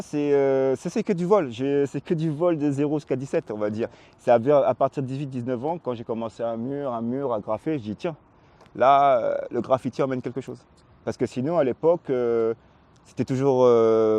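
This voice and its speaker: A man's voice: medium pitch, mellow. A man in his forties from Paris, born in Réunion, chatting casually in an interview with a hesitant, repetitive, slangy delivery. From Paris, France, born in Réunion. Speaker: interviewee, hip-hop graffiti artist.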